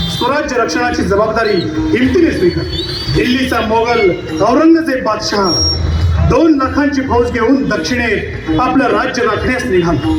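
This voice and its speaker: Extremely high-pitched male historical narrator. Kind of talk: scripted historical stage narration. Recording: echoey, distant, music behind.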